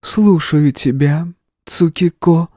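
A man voicing a gentle, coolly condescending goddess of mercy with a tender, measured female voice in an audiobook. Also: on the high side, no echo, studio quality, voice pushed up.

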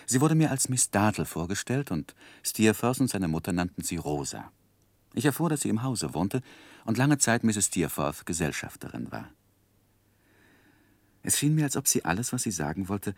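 An actor narrating a 1960s German radio play as a man looking back, in a measured, reflective literary style. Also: on the low side, creaky, a little mellow, no room sound, heavily acted. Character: David Copperfield as reflective adult narrator.

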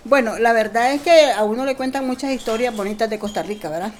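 A woman's voice: a little high, fast talker. A middle-aged woman with a Nicaraguan accent sharing an informal personal testimony. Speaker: migrant interviewee. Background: music.

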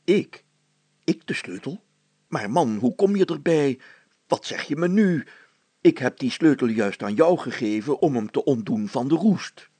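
A man voicing a pompous, fussy, excitable town mayor in a story, exclaiming and stammering when flustered. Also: mid-range voice, a little slow, husky, no room sound, pitched below the performer's own voice.

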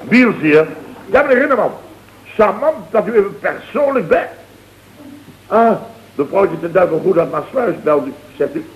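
An actor playing an indignant middle-aged boss of a building firm in an old radio comedy, exclamatory and colloquial, with a Dutch accent. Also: male voice, high voice, lively intonation, moderate pace. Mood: strained politeness. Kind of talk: 1970s radio comedy acting. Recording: dull, noisy old radio recording.

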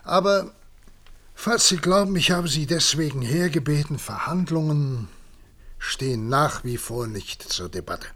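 An actor playing an authoritative president of a future world at war, speaking formally in a 1960s German radio drama. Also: male voice, a little high, expressive, measured pace, a bit bright. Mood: grave, resolute. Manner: formal, commanding.

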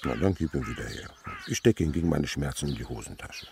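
A man's voice: extremely low pitch, expressive, fast, vocal fry, darkish tone, slight background noise, heavily acted. An actor playing a whimsical, sceptical insect researcher and father in a German radio play, with a pedantic, playful way of lecturing. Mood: playful, teasing.

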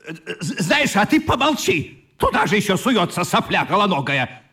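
An actor playing a rude, bullying petty official in a radio play, coarse and belligerent. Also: male voice, sits high, very animated, moderate pace, sharp tone, full character voice.

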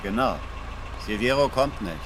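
An actor playing a cold, vengeful man who taunts deliberately and with menace in a radio drama. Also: mid-range voice, lively intonation, natural voice. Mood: cold, gloating. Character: cold, vengeful male adversary taunting a criminal. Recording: noisy outdoor traffic scene.

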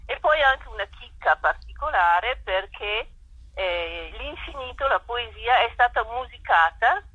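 A woman politely giving measured, explanatory interview answers as a guest over the telephone. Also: medium pitch, sharp tone, slightly rough, no echo. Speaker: guest, president of a cultural academy. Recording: dry, dull telephone-like line.

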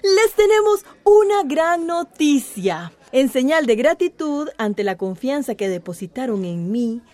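An actress playing an evasive, self-important small-town mayor, making formal speeches in a radio drama. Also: female voice, high-pitched, big pitch swings, unhurried, a little mellow. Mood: grandly proud. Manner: formal, grandiose.

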